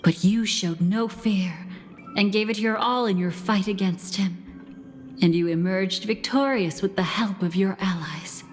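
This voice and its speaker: An actress voicing a calm, enigmatic female storyteller in a video game, narrating in a composed, deliberate, solemn way. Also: medium pitch, lightly acted. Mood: composed, knowing. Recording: reverberant, music underneath.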